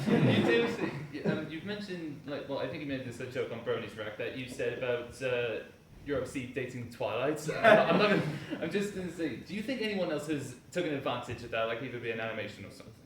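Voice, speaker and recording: A man in the audience asking a panel question, halting and nervous. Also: medium pitch, expressive. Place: large echoey convention hall. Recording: distant noisy hall audio.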